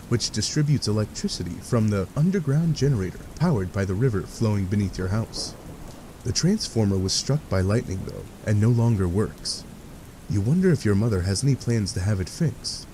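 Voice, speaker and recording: A man's voice: lowish voice, lively intonation, normal speed, warm tone, slight background noise, low-quality recording. A man narrating a scripted second-person story for a fan dub in a wry manner.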